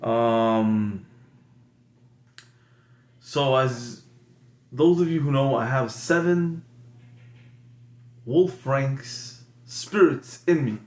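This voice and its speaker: A man hosting an improvised comedy show, rambling, halting and self-mocking. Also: medium pitch, darkish tone, echoey room. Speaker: comedy host. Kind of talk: improvised comic monologue.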